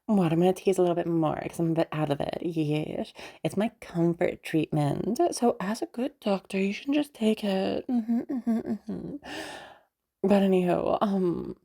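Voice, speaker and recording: Audio roleplay: actress as teasing crazy female patient, gravelly fry, soft dark tone, halting and rambling. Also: lowish voice. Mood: teasing, flirtatious. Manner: hesitant, rambling, many pauses and hums. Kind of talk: roleplay audio drama, intimate one-sided conversation.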